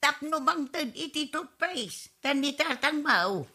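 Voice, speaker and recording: A woman's voice: sits high, expressive, takes it slowly, sharp tone, heavily acted. A woman with an Ilocano accent playing a nagging, complaining wife and auntie in a whiny, scolding comedy radio drama style.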